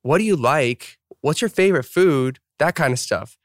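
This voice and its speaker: A man's voice: on the high side, lively intonation, normal speed, dry recording, studio quality. A young man hosting a podcast, upbeat and chatty, full of fillers like "like" and "um". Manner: upbeat, filler-heavy, self-deprecating. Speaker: podcast host. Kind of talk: casual interview chat.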